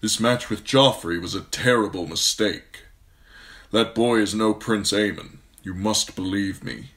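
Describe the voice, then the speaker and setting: A man voicing a gentle, grave noble father in an audiobook, calm and measured. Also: lowish voice. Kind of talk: audiobook character voice.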